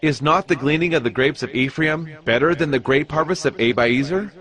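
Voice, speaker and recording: A man's voice: fairly high pitch, brisk, a bit bright, lightly acted. A man playing a bold, commanding Israelite war leader in a dramatized audio Bible, speaking in declamatory tones. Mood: placating. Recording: voice over background music.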